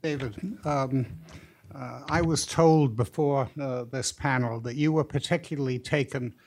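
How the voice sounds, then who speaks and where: A man moderating a panel informally, putting questions in a halting, polite way. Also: mid-range voice, steady tone, a little mellow.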